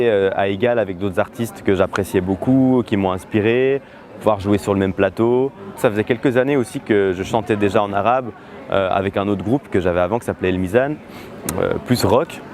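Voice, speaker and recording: A young man, answering interview questions about his music in a relaxed, hesitant way with many filler pauses. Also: fairly low pitch. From Switzerland. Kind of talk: casual interview talk.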